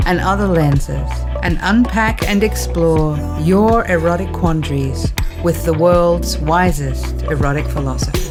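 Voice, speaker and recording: A middle-aged woman hosting a podcast about sex and relationships, moving from a scripted intro into relaxed interview chat. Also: low-pitched. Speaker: podcast host, sexologist.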